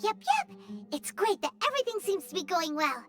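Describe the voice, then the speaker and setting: A woman's voice: extremely high pitch, sing-song, normal speed, soft background music. A woman voicing a small childlike fairy companion in a video game, chirpy and exclamatory, talking about herself in the third person. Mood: chirpy, curious.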